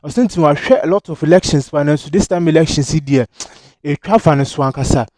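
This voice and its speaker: A man's voice: on the high side, fairly quick, a little mellow, no echo. A man with a Ghanaian accent presenting and commenting on political news.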